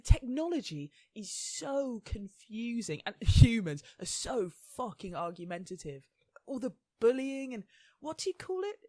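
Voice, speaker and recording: Woman doing snooty impatient death entity lady, radio play, sarky and sweary, highish voice that swings around a lot. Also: very animated, measured pace, warm tone, no room sound. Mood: weary, sardonic. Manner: scornful, sardonic, sweary. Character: haughty, impatient female immortal entity of death and war.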